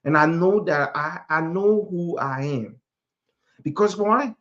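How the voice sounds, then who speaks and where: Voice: medium pitch, takes it slowly, a bit bright, lo-fi sound. A speaker from Africa preaching a motivational sermon in an emphatic manner, repeating phrases and posing rhetorical questions.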